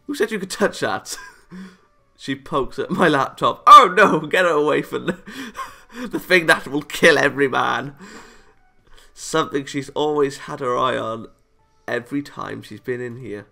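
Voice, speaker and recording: Bright, gravelly man narrating a visual novel. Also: mid-range voice, unhurried. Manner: playful, exclaiming, breaks into asides. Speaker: gaming YouTuber reading a visual novel aloud. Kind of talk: animated let's play reading with joking asides. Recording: music behind the voice. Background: visual novel game music.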